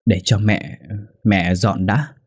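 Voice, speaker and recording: A man's voice: on the low side, fairly even intonation, slow pace, breathy edge, dry recording, studio quality. Man acting a frail, trembling old mother. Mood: trembling, pleading. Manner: trembling, choked, meek.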